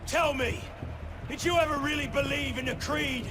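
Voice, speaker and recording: An actor playing a fierce, bitter master assassin in a video game, vehement, sardonic and coarse-tongued. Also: male voice, very high voice, moderate pace. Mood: furious. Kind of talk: dramatic game acting. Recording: music behind the voice.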